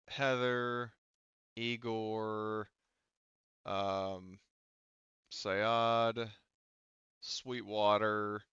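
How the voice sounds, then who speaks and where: A man with a low, crisp and forceful voice runs a tabletop game in a casual way. He speaks slowly and haltingly, working things out aloud as game master. The sound is dry and a little muffled. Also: no echo.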